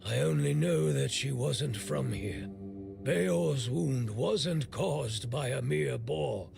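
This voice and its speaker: An actor playing a bitter, blunt, middle-aged blacksmith in a video game, grumbling and weary with worry. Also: male voice, medium pitch, takes it slowly, creaky, over music. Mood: bitter, dismissive. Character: gruff, bitter male blacksmith, worried for a stricken young man.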